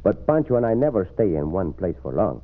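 A man's voice: low-pitched, big pitch swings, brisk. A man playing a gallant, commanding yet courteous Mexican cowboy hero with a Mexican accent in an old-time radio western. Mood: courteous, warm. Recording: noisy, tinny old radio recording.

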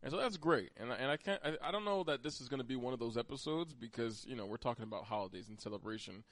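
A man's voice: mid-range voice, expressive, fast, fairly bright tone, dry recording. An American man hosting a podcast, chatting casually and conversationally with a teasing streak toward his co-host. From Pennsylvania, United States.

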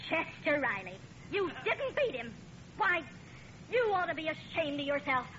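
A scolding but forgiving sitcom wife of 1940s radio. Her voice is very high and swoops with reproach. The old recording is a little muffled. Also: sing-song, moderate pace, sharp tone, slight background noise, full character voice. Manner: indignant, reproachful.

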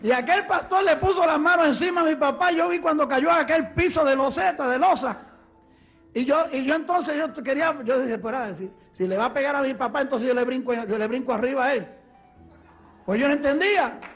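A man with a Puerto Rican accent, an evangelist preaching and telling his testimony with fervor that rises to shouts. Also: very high-pitched, fairly quick, faint music behind. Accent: Puerto Rican Spanish. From Puerto Rico. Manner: fervent, rising to shouts.

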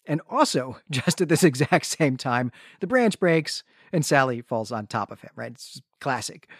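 A man co-hosting a podcast, retelling and discussing a story in a casual, animated way with a readiness to chuckle. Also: mid-range voice, moderate pace, no echo, clean studio sound. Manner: animated storytelling, often chuckling through sentences. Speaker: podcast co-host. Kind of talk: casual conversational literary discussion and plot retelling.

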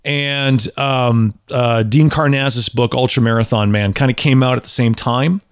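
A man's voice: mid-range voice, unhurried, clear, resonant tone, no echo, clean studio sound. A man in his forties hosting a casual podcast chat, joking and often restarting his sentences. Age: 40s. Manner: animated, joking, restarts and repeats words. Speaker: podcast host.

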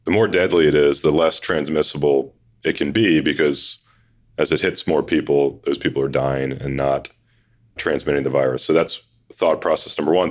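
A very deep-voiced man hosts a finance talk show with a hoarse throat. He lays out his reasoning in a steady, explanatory way. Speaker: podcast host. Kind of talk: conversational finance discussion.

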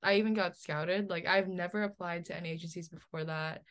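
A woman's voice: lowish voice, fairly even intonation, fairly quick. A woman in her twenties, a podcast guest, talking casually and easily with many "like"s. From Philippines, raised in New Jersey. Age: 20s. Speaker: guest, model and college student. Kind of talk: casual interview chat.

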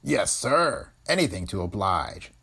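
A man voicing a smug male police lieutenant who talks in a gruff, mocking way. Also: low-pitched, very animated, a little slow, pushed and bright, exaggerated voice.

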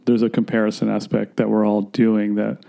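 A man from Florida, a filmmaker guest, talking in a rambling, halting, self-deprecating way in casual conversation. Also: on the low side, monotone, gravelly, warm tone.

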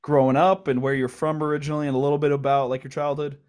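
A man's voice: fairly high pitch, flat delivery, fast, darkish tone. A man hosting a casual interview podcast, asking easygoing questions with loose restarts. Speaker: podcast host.

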